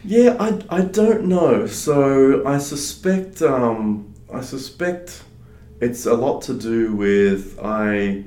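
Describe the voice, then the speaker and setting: A man who grew up in Australia with French as his first language, talking casually as an interview guest, relaxed and full of fillers and restarts. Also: medium pitch, takes it slowly. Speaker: guest, startup advisor. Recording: roomy echo.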